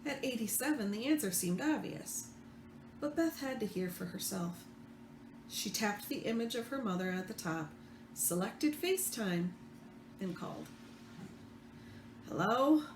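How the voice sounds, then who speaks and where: A woman reading fiction aloud as the author, expressive, with the odd stumble. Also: medium pitch, moderate pace. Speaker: author reading own book. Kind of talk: read-aloud fiction narration. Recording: roomy echo, faint background noise.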